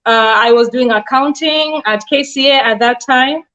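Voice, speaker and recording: A woman's voice: sits high, normal speed, a bit bright. A woman in her forties from Kenya with a Kenyan accent, a nurse practitioner answering interview questions earnestly with many fillers. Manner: earnest, explanatory, with frequent fillers. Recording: dull lo-fi sound.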